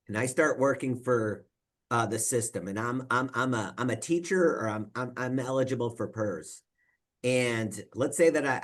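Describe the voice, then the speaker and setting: A man of middle pitch giving an explanatory talk as a financial advisor. He speaks conversationally and works through examples one step at a time. He keeps checking in with his listeners with a quick "right?". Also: fairly bright tone. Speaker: financial advisor presenting at a roundtable. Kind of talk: explanatory presentation.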